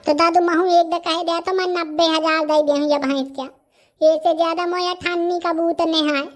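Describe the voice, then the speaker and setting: Young village cartoon character, very high-pitched, Bundelkhandi accent, chatty, stubborn, insistent. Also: level tone, normal speed, faint music behind. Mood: stubborn, bargaining.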